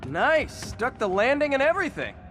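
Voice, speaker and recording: An actor playing a young wisecracking superhero in a video game, with an American accent and a casual, quippy delivery. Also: male voice, very high-pitched, very animated, moderate pace, over music. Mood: upbeat, focused. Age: young adult. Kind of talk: video game voice acting, natural dialogue.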